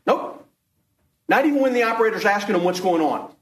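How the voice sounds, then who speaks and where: A man's voice: sits high, expressive, a little fast. A man prosecutor giving a closing argument in court, emphatic and repetitive as he accuses the defendant. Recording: roomy courtroom sound.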